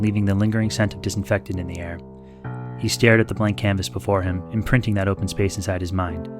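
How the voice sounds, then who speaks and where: A man with a very low voice narrates fiction from a script, as in an audiobook. Music with guitar and piano plays clearly behind his reading.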